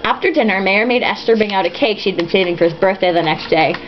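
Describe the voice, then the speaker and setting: A woman's voice: mid-range voice, fast. Sarcastic woman reading aloud, lo-fi sound. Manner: sarcastic, foul-mouthed, giggly; tipsy drinking-game reader. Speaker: host reading a novel aloud with mocking commentary. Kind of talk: casual comic read-aloud with sarcastic asides. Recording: dull lo-fi with faint hiss.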